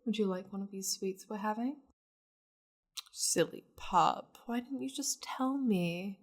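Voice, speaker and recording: A woman voicing a seductive, possessive demon lady in an ASMR roleplay, languid and teasing, slipping into breathy half-whispers. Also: mid-range voice, a little slow. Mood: playful, doting. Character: seductive, possessive powerful demon lady doting on her pet.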